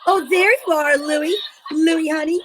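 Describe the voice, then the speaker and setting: A woman's voice: high voice, fairly even intonation, measured pace, background noise. A woman playing an adoring, melodramatic sweetheart with a sugary, effusive manner in amateur old-time radio comedy.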